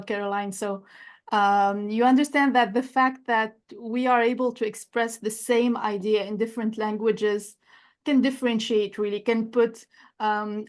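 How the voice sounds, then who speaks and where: A woman whose first language is Arabic, speaking as a coach in a podcast interview, reflective and searching for words. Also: medium pitch. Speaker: guest coach.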